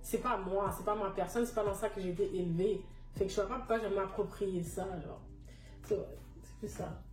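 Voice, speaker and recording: A woman's voice: medium pitch, steady tone, a little fast. Frank young woman, distant and echoey. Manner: frank, emphatic, mixes English words. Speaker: panel guest. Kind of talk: casual discussion. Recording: echoey room, distant mic, soft music.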